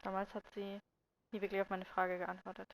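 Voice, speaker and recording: A woman with a medium-pitched voice comments casually on a game's story. She is a gamer speaking in an informal let's play style, reacting in short remarks. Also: monotone, a little fast, rough recording. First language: German.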